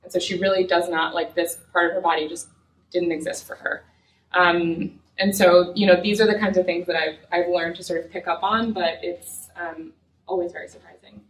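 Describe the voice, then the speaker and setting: A woman's voice: fairly low pitch, fairly even intonation, normal speed. A young adult woman giving a conversational, earnest lecture as a physician, speaking with many fillers. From United States. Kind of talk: academic lecture. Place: lecture room. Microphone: far room mic. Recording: echoey lecture room from far off.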